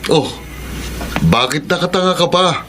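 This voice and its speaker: A man's voice: on the high side, animated, unhurried, noisy background. An actor playing a cruel, mocking, money-minded stepfather in a radio drama, sneering and bossing. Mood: mocking, bossy. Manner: sneering, taunting, bossy.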